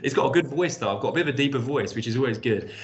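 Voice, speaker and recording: A man's voice: medium pitch, lively intonation, very fast, lo-fi sound. A man hosting an interview podcast, speaking with a cold and rambling a little, with many fillers and repeated words. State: has a cold. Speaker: podcast host. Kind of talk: casual interview chat.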